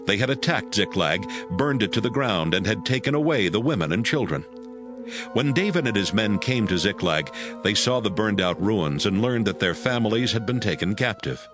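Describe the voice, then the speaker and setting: A man's voice: on the low side, expressive, normal speed, over music. A man narrating a dramatized audio Bible as scripted storytelling.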